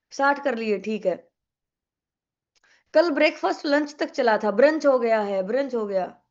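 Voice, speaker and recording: Young woman, high voice, sore throat, chatty online teacher, slightly dull audio. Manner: chatty, coaxing students, mixes English into Hindi. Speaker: online exam-prep English teacher. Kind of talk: live online class, casual Hindi-English teaching.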